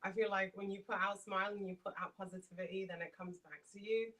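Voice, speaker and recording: A woman's voice: mid-range voice, steady tone, fast, far from the mic, a crowd in the background. A woman from London with a London English accent, chatting casually as a guest in an interview. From London, England. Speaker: podcast guest.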